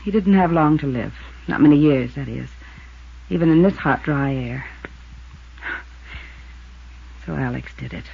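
A woman's voice: low voice, moderate pace, gravelly, slightly rough, exaggerated voice. A woman playing a poised, evasive rancher's widow with an American accent in a 1950s radio drama. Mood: bitter. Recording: dull, band-limited 1950s radio recording.

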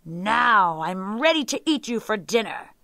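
Woman playing an impatient, lively-pitched hungry tiger. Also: unhurried, gravelly, no echo, clean studio sound, exaggerated voice. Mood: menacing. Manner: emphatic, exasperated, bossy. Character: hungry, impatient male tiger, easily enraged. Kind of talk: animal folktale storytelling.

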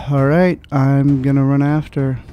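A man's voice: mid-range voice, level tone, slow pace, warm tone. A man in his twenties talking casually as a player in a tabletop game, hesitantly thinking aloud. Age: 20s. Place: small indoor podcast studio. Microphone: close studio microphone with foam cover. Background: background music.

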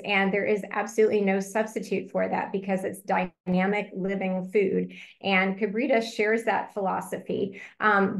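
A middle-aged woman pediatrician presents in a webinar over a video call. Her voice carries a gravelly fry at the edges, and she explains in a clear, earnest way for families. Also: medium pitch. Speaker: pediatrician, medical advisor.

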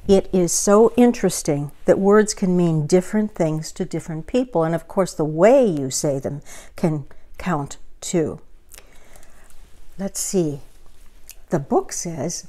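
A woman in her sixties reading a picture book aloud to children in a deliberate manner, with asides to the listener. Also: fairly low pitch, dark tone. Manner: deliberate, asks the listener questions. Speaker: children's storyteller. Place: living room, indoors.

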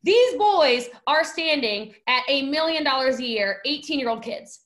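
A woman's voice: high-pitched, animated, moderate pace, fairly bright tone. A young woman telling a personal story in an emphatic, dramatic, slangy way, as a podcast guest on a video call from home. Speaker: podcast guest, network marketing entrepreneur.

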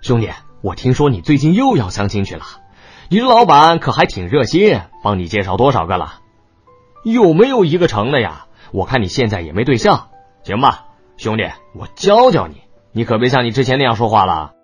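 A man's voice: mid-range voice, wildly swooping pitch, fairly quick, husky, soft background music. An actor playing a cocky, mocking young man, a brash older brother in a romance audiobook, with a drawling, needling delivery. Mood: smug teasing. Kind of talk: audiobook character dialogue.

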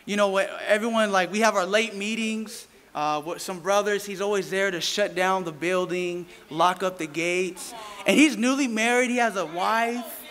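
A man in his thirties preaches from a pulpit mic with an animated, playful delivery, calling out to the congregation. His voice sits very high and rings bright and forceful. The sound carries a long church-hall reverb with a faint congregation murmuring behind him. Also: normal speed. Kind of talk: sermon. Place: church sanctuary, indoors. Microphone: stand mic at a pulpit.

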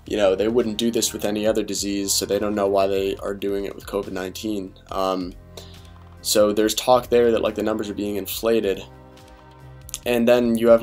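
Quiet, low-voiced young man rambling. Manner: rambling, casual, with fillers and restarts; deliberately quiet and low-key. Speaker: fitness vlogger. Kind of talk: quiet late-night voice-over.

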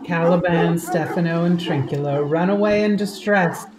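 A woman's voice: lowish voice, moderate pace, noisy background. A woman reading scripted stage directions as the narrator of a radio play. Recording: home recording with music.

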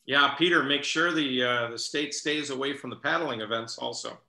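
An older American man hosts a casual panel with a very bright, sharp-edged voice. He talks conversationally over a video call, with audible room echo around him. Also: mid-range voice, normal speed, creaky. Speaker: show host.

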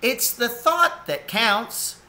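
A man's voice: very high-pitched, very animated, slow, bright, forceful tone, pitched above the performer's own voice, heavily acted. A man voicing a consoling female raccoon neighbour in a storybook, matter-of-fact. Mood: reassuring.

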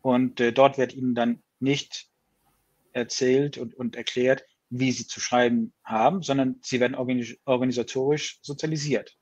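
A man speaking a language that is not his first, slowly building his thoughts with frequent restarts. His voice has a slightly husky edge. He sounds like he is on a webcam call from a room at home. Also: mid-range voice, fairly even intonation, a little mellow, muffled recording. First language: not German. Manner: hesitant, restarts words, reflective. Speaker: guest. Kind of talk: conversational video call. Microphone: video call webcam.

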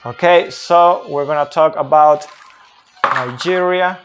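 Slow-talking man explaining football tactics. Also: fairly high pitch, noisy background. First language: not English. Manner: slow and deliberate, pausing often. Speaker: football analyst. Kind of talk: unscripted tactics talk. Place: small room by a whiteboard.

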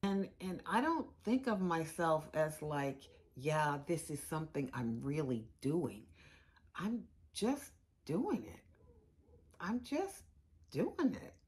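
A woman's voice: deep voice, very animated, slow, darkish tone. A woman giving a reflective personal narrative.

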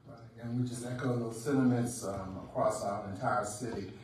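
A man's voice: on the low side, steady tone, moderate pace, a little mellow, slight background noise. A city councilman speaking earnestly, with religious phrasing. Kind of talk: formal tribute remarks. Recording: echoey chamber from far off.

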